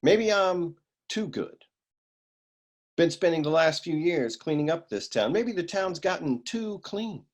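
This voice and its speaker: A man's voice: fairly high pitch, expressive, measured pace. A man playing a self-important, down-on-his-luck private detective in a noir radio play, with a dramatic manner. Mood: self-important, wistful.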